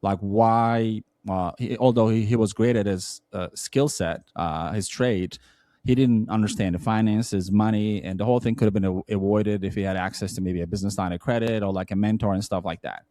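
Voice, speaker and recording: A man's voice: lowish voice, steady tone, normal speed, mellow, dry recording, studio quality. A man with a Turkish accent, a non-native English speaker, telling his story as a podcast guest in an earnest way, with many restarts and fillers. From Turkey. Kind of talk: conversational storytelling.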